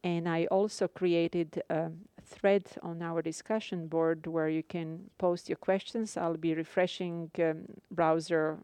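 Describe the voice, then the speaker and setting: A woman with a Croatian accent, a course instructor giving a live radio lecture to her students, speaking carefully with frequent filler pauses. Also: lowish voice, little pitch movement, warm tone, smooth, dry recording.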